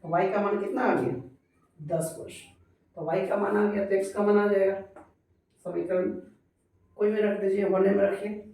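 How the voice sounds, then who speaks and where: A man's voice: sits high, steady tone, moderate pace, pauses often, warm tone. A man teaching a maths class, working through a problem step by step and asking questions that he answers himself. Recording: echoey room, voice far from the mic.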